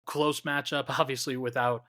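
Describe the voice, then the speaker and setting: A man hosting a basketball podcast, talking in a relaxed way and thinking aloud with lots of fillers. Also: mid-range voice, moderate pace. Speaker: podcast host. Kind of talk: casual basketball podcast chat.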